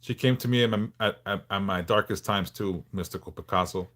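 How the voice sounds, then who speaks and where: A man in his forties from Southern California, hosting a casual livestream and answering viewers in a frank, laid-back way with halting restarts. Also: on the low side, fairly bright tone. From Los Angeles, California. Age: 40s. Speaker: livestream host.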